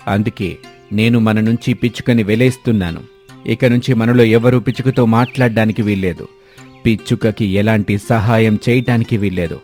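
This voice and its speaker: A man's voice: fairly low pitch, a little mellow, close to an everyday voice. A man voicing a commanding, stern eagle who leads the birds in a children's cartoon, in an authoritative, announcing way. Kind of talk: children's cartoon story voice acting.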